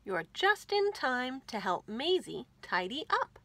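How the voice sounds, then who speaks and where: Bright, lively woman reading to children. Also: high voice, takes it slowly, no echo. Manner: expressive, enunciated, addressing children directly. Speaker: children's library storytime host. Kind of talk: animated read-aloud storytelling for young children.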